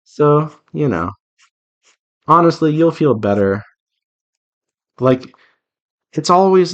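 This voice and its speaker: A man talking casually and earnestly in a rambling, advice-giving way, like an artist chatting while working. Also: mid-range voice. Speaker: visual novel developer and artist hosting a drawing devlog.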